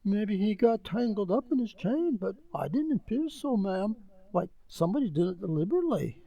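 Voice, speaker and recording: A woman's voice: mid-range voice, very animated, moderate pace, creaky, muffled recording. A woman playing a frantic, distraught household servant in a radio play, stammering with alarm. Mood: shaken, distraught.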